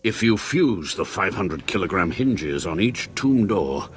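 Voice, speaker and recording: An actor playing a grave, anxious Sith lord scholar with a formal, theatrical manner and husky voice in a video game. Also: male voice, low voice, sing-song, measured pace, slight background noise. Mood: grave, ominous. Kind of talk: video game cutscene acting.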